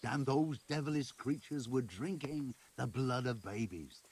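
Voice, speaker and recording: A man's voice: medium pitch, a little slow, darkish tone, slight background noise, natural voice. A man playing a boastful, idle tavern storyteller, telling tall tales in a theatrical, self-important manner. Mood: melodramatic. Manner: melodramatic, self-important, indignant when interrupted.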